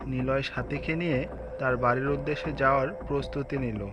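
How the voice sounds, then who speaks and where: A man's voice: mid-range voice, fairly even intonation, fairly quick, highs cut off. A man narrating a scripted cartoon story, with music playing behind his voice.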